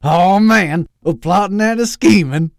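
A man's voice: medium pitch, animated, unhurried, darkish tone, no room sound, studio quality, voice pushed up, natural voice. A man voicing an eager, insecure mad-scientist villain in an audiobook, rambling and theatrical, with a Southern accent that wanders into mock-Austrian. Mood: gleeful. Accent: American South, wandering to mock-Austrian.

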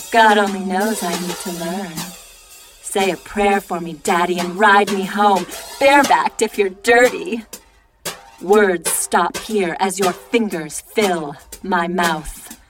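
A woman performing a seductive, brazen figure in a dramatic spoken-word monologue, slow, sultry and deliberate. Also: mid-range voice, natural voice. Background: music.

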